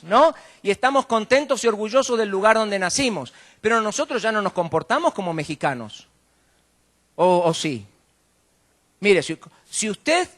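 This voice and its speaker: A man's voice: high-pitched, bright, forceful tone. A man from Argentina with an Argentine accent preaching in a conversational, colloquial way, often tagging statements with rhetorical questions. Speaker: guest preacher. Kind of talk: conversational sermon with jokes.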